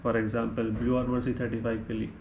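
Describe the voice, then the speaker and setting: Man, Indian accent, mid-pitched voice, unscripted explanatory reviewer, Hindi-English code-switching, roomy echoing recording. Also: monotone, brisk, creaky. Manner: ends points with a checking "right?". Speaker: motorcycle gear reviewer. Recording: echoey room with faint background noise.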